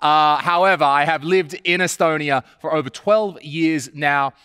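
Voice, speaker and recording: A man's voice: fairly high pitch, unhurried, bright, forceful tone, clear, resonant tone, dry recording, studio quality, big-room sound. Upbeat Australian man hosting on stage. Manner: upbeat, gestures while speaking. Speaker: event host. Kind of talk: presenting a live virtual trade event. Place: large stage studio with hall reverb.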